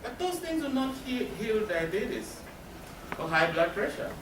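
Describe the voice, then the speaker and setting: Guy giving a talk, kinda high voice, explains stuff emphatically, far from the mic w/ noise behind. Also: sing-song, a little slow. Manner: explanatory, asks and answers own questions. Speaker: counselor giving a presentation. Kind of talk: spoken presentation to a live audience. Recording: roomy, distant mic with noticeable background noise.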